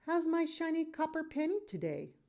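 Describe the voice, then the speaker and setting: A woman's voice: very high voice, sing-song, normal speed, a little mellow, pitched above the performer's own voice, exaggerated voice. An easygoing storybook father, read by a woman. He sounds playful and affectionate, with a teasing, encouraging way of talking.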